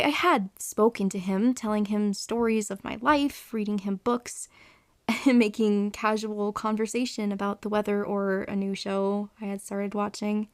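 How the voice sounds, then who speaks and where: An actress playing a lonely, earnest young hospital volunteer in an audio drama, soft-hearted and a little halting. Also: female voice, medium pitch, dry recording, studio quality, close to an everyday voice. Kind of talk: first-person audio drama narration and dialogue.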